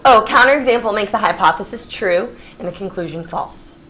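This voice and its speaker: A woman's voice: medium pitch, brisk. Woman teaching in echoey classroom. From Texas. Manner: lively, playful, coaxing students. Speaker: high school geometry teacher. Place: classroom, recorded from the back. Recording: echoey classroom, faint room noise.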